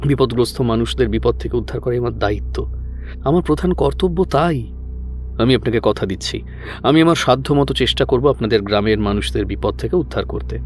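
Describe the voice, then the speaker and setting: A man's voice: mid-range voice, brisk, soft background music, lightly acted. A man voicing a polite, reassuring younger tantric holy man in an audio story, speaking formally and earnestly.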